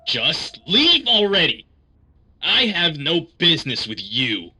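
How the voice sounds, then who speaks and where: A man's voice: fairly high pitch, wildly swooping pitch, takes it slowly, bright, forceful tone, slightly rough, faint music behind, heavily acted. A man playing a curt, unwelcoming reclusive detective in an anime dub, dismissive in manner.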